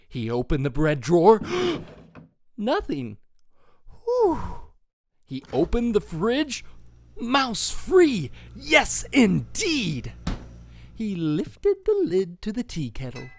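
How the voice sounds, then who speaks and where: A man reading a children's storybook aloud with expressive, animated narration. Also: on the high side.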